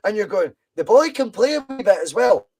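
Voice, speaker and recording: A man's voice: high-pitched, big pitch swings, brisk, creaky, darkish tone, husky, soft background music. A Scottish man hosting a podcast, talking football with passion and repeating points for emphasis. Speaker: podcast host. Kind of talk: casual football chat.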